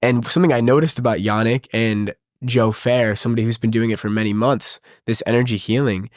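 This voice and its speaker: A man's voice: mid-range voice, fairly even intonation, fairly quick, no echo. Casting: man, stuffy-nosed, earnest and upbeat, mid-range voice, close and dry. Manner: earnest, enthusiastic, reflective. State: stuffy nose. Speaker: podcast host. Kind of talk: casual spiritual conversation.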